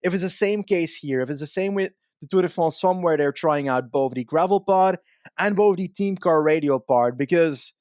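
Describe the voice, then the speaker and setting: A man's voice: high voice, no room sound, studio quality. A man co-hosting a podcast, explaining his points in a casual, analytical way with examples. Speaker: podcast co-host.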